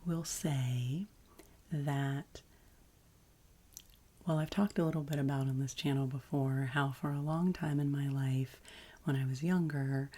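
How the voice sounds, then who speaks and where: Deep, husky woman speaking softly. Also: steady tone. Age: adult, past college. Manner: slow, gentle, soothing delivery. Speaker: ASMR channel host. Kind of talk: ASMR soft-spoken and whispered chat.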